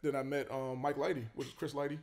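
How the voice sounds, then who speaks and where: A quick-talking man, at an ordinary pitch for a man. He tells his story in a relaxed, casual way, full of fillers and restarts. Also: vocal fry. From Queens, New York. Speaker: college basketball head coach, guest.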